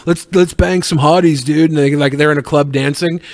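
A man's voice: a little high, steady tone, fairly quick, dry recording. A man co-hosting a web talk show, chatting casually in a loose, jokey, slangy and profane way. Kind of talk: casual banter, reacting to videos and films.

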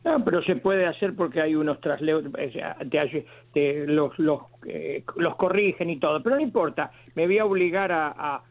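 Un hombre que llama a un programa de radio. Habla entrecortado, se corrige a menudo y dice lo que piensa con convicción. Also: male voice, fairly high pitch. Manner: halting, many restarts, earnest. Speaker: caller. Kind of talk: phone-in call. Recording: tinny phone line.

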